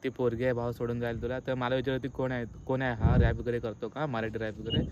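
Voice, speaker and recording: A young man chatting casually as a vlogger, teasing and often halting or repeating himself, with some noise around him. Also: medium pitch, level tone. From Maharashtra, India. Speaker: vlogger making a prank call. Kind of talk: casual chat, playful vlog.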